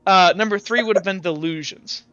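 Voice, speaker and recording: High-voiced man in a roomy, echoing recording. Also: moderate pace, sharp tone, clean tone. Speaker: game master. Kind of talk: casual talk running an online tabletop roleplaying game. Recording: roomy echo, dull lo-fi sound. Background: music.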